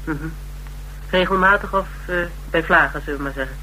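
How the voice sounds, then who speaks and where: A higher-voiced, courteous, probing interviewer. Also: male voice, brisk, pushed and bright, low-quality recording. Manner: courteous, probing, lightly amused. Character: polite, inquisitive male interviewer sizing up a recruit. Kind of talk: naturalistic Dutch radio drama acting. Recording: radio recording with background hiss and hum.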